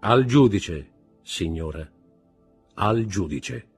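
A male narrator acts out a merciless magistrate confronting his wife in a storybook drama. He is commanding and full of contempt, with a husky edge to his deliberate, accusing delivery. Music plays faintly behind him. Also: on the low side, expressive. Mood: cold, accusing. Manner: commanding, contemptuous, deliberate interrogation rising to shouts.

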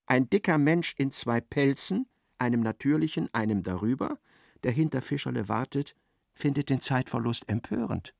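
An actor playing a dry storyteller, giving measured, precise literary narration in a radio play. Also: male voice, mid-range voice, expressive, gravelly, dry recording.

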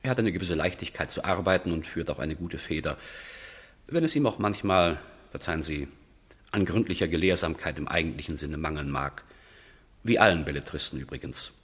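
An actor playing a pedantic, pompous envoy who loves order, in a period radio drama, fussy and condescending with a husky voice. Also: male voice, a bass voice, fairly quick.

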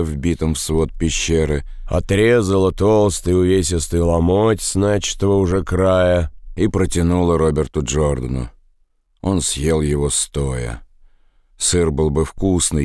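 A man narrating an audiobook in a measured, even way. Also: rumbling low, dry recording, studio quality. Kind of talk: scripted literary narration.

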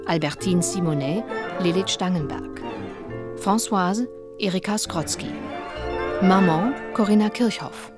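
A woman's voice: on the low side, unhurried. A woman announcer reading scripted credits for a radio drama in a studio, with music playing. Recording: studio voice under loud music. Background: loud music with glockenspiel.

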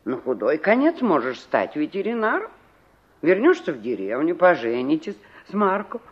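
An actress playing a middle-aged, complaining village aunt in a 1960s radio play, emphatic and full of rhetorical questions. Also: female voice, deep voice, sing-song, moderate pace, breathy edge, heavily acted. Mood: exasperated. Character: exasperated village aunt fretting over her stubborn niece. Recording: dull vintage radio recording.

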